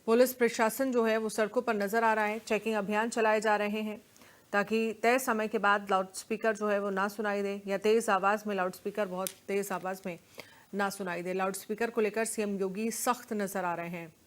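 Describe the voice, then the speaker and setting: A woman's voice: medium pitch, little pitch movement, normal speed. A woman, presenting live news and putting questions to a reporter as anchor.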